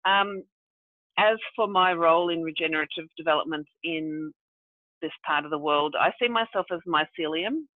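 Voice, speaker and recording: A woman's voice: low voice, moderate pace, no echo. A woman who grew up in Brazil and South Africa, thinking aloud as a guest on a video call, with many fillers. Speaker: guest, regenerative development practitioner. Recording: tinny phone-like dry audio.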